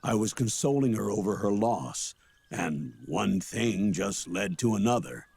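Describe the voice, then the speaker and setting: Weary, gravelly older colonel. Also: male voice, fairly low pitch, animated, no room sound, heavily acted. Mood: sheepish. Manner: measured, quietly defensive. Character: older male colonel, weary, defensive, apologetic father. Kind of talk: dramatic video game voice acting.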